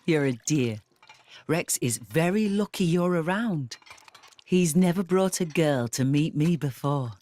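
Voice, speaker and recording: A woman playing a kindly, motherly older village guardian in a video game, gently confiding in a folksy way. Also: sits low, lively intonation, unhurried. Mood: fond, teasing. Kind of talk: video game cutscene acting. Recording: music behind the voice. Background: loud instrumental music.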